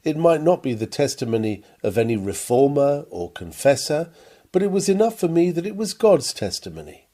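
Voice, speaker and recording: A man reading an old sermon aloud from a script, with earnest, measured and formal oratory. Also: on the high side, warm tone. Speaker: reader of a historical sermon.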